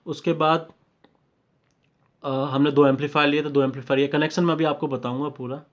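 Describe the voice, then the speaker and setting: Indian male technical trainer, slightly high voice, informal explainer who repeats steps and drops in English terms; muffled lo-fi recording. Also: level tone, brisk. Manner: explanatory, repetitive, often checking in with short confirmations. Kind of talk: informal step-by-step technical tutorial. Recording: dull lo-fi microphone sound.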